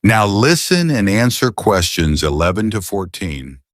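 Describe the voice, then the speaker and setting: Low-voiced male exam announcer, dry sound. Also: sing-song, measured pace, dry recording. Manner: formal, clearly paced instructions. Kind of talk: scripted test instructions.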